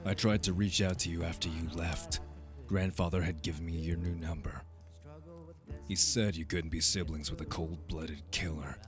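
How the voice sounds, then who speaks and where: Man acting a very deep-voiced, embittered vampire brother. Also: fairly even intonation, moderate pace, music in the background, lightly acted. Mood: hurt, confused. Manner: intense, emphatic, accusing delivery. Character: embittered vampire brother, hardened, wounded, proud young man. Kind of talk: dramatic audio roleplay acting.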